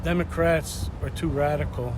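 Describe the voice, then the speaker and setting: A man's voice: a little high, fairly even intonation, normal speed, noisy background. A man in his sixties, a voter interviewed outdoors, giving blunt, short answers. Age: 60s.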